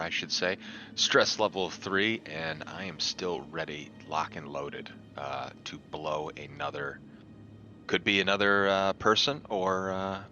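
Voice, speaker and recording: Very deep gravelly man on a muffled mic. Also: pushed and bright. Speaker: player. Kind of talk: casual chat.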